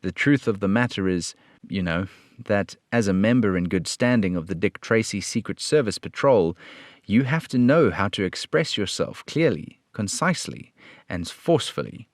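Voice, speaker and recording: A man playing a stern, upright detective from an old radio drama, lecturing in a low and measured voice. The sound is close and dry, with no room around it. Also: lively intonation, no room sound. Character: firm, upright police detective, adult man. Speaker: radio play reader.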